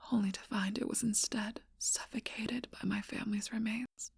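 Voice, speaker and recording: An ancient, lonely vampire woman, whispered by a female performer breathes her words close to the mic in a slow, intimate hush. Her voice is deeply gravelly and breathy, pitched low and held flat, in a dry, close sound. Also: no room sound. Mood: mournful whisper. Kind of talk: whispered ASMR role-play.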